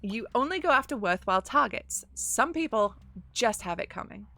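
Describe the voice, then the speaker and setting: A woman's voice: on the high side, lively intonation, moderate pace, pushed and bright, no room sound. A woman acting as game master on a stream, reading out rules and options with an upbeat, laughing manner. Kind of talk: running a tabletop game over a stream.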